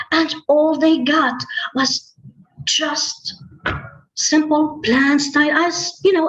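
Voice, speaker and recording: A woman telling a tall tale live, with deliberate, dramatic phrasing, pauses and repetition. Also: high-pitched, a bit bright. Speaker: storyteller. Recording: roomy, echoey, slightly muffled online-call audio.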